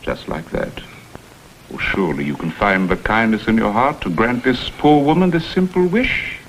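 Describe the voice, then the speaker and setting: An actor playing a smooth, insinuating blackmailer who poses as a humble go-between, with florid, theatrical phrasing, in a vintage crime drama. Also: male voice, on the low side, animated, moderate pace, a bit bright, rough recording. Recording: vintage TV soundtrack, faint noise.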